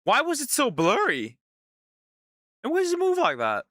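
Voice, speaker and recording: A male streamer giving casual, excitable commentary on a game, reacting with disbelief and joking to his chat. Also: very high-pitched, normal speed, no echo, studio quality. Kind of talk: let's play commentary.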